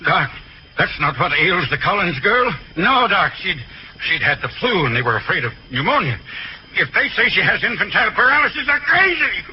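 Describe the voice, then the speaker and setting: A man's voice: high voice, big pitch swings, moderate pace. An actor playing a shifty working-class father, defensive and colloquial, in a 1940s radio drama style. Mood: alarmed. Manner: colloquial, evasive. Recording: muffled old radio recording, faint noise.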